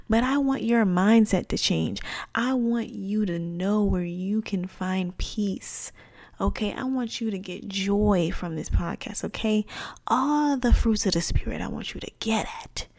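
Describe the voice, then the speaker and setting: Chatty young woman podcaster, slangy and lively. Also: medium pitch. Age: 20s. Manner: chatty and animated, slangy with "child" and "y'all". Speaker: podcast host. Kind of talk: casual faith podcast talk.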